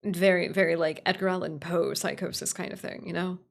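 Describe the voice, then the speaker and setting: A woman streamer chatting casually about her writing in an informal, self-conscious, excitable way. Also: lowish voice, a little fast, creaky. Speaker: streamer, writer and voice actor. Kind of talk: casual livestream chat.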